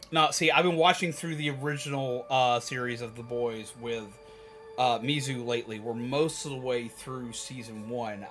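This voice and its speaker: A man livestreaming, chatting casually and rambling to viewers in an animated, emphatic, opinionated way. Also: medium pitch. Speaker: live streamer. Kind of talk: casual rambling chat with viewers while gaming.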